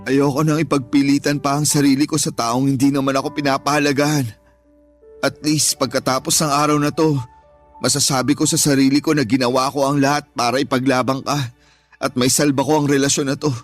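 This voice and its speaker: A man's voice: medium pitch, flat delivery, fairly quick, faint music behind, close to an everyday voice. A man acting a wounded, devoted young boyfriend in a Filipino radio drama, with a pained, earnest delivery. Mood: resigned, firm. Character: wounded, devoted young working-class boyfriend, pleading and proud.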